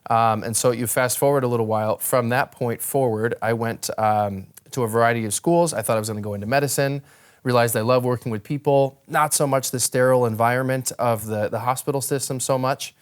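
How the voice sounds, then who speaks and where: A young American man speaks as a guest, telling his own story. His voice is very clear and smooth at a medium pitch. He is conversational, articulate and reflective. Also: steady tone, brisk. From northern Wisconsin, United States. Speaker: interview guest, ministry worker.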